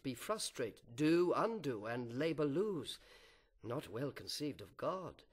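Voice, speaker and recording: A man playing a grave, resigned, devoted husband in a verse epic, speaking in a solemn, measured way. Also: fairly high pitch.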